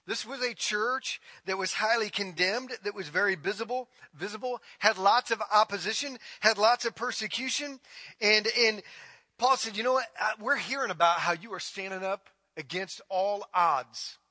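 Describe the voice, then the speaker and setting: A man's voice: sits high, normal speed, pushed and bright, no room sound. A man preaching a sermon, emphatic and repetitive as he drives each point home. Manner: emphatic preaching, repeating phrases. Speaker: pastor.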